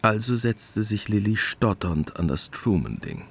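A man's voice: fairly low pitch, expressive, measured pace, vocal fry, breathy edge. A man narrating a literary radio drama in a matter-of-fact storytelling manner.

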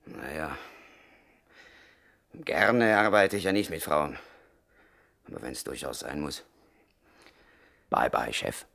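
A man's voice: takes it slowly, gravelly, pauses often, heavily acted. An actor playing a grumbling, put-upon gangster henchman who complains to his boss, in a 1960s radio drama. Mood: grudging. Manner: grumbling, complaining, lively swings in pitch.

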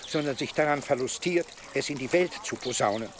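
An actor playing an imperious, irritated medieval archbishop in a German radio drama, speaking in a formal, sardonic manner. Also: male voice, medium pitch, brisk, slight background noise, natural voice. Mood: haughty, annoyed. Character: imperious, irritated medieval archbishop giving orders to his deputy. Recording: music behind the voice.

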